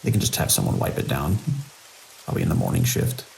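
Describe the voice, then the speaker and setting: An actor as a seasoned night-watch security chief, very low and full of gravel. He is wry and world-weary in this audio drama, measured but with lively inflection, over faint hiss. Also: exaggerated voice, low-quality recording. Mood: businesslike. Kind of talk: audio drama dialogue and log monologue. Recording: faint hiss and rain-like noise.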